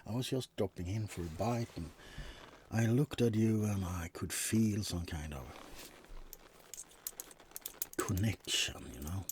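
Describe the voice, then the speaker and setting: A man's voice: lowish voice, unhurried, background noise, close to an everyday voice. A man playing a laid-back, wry, centuries-old vampire roommate in an intimate, rambling ASMR roleplay. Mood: soft, coaxing. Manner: hesitant, rambling, intimate, drifting into whispers. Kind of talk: ASMR roleplay monologue addressed to the listener.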